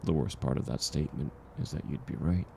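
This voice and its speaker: Man acting out gentle caring man, mellow dark tone, gravelly fry, reassuring, unhurried, intimate audio roleplay, faint background noise. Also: very deep voice, full character voice. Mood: wistful, reflective. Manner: soft-spoken, reassuring, unhurried. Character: kind, gentle man, once homeless, sharing dinner with a stranger.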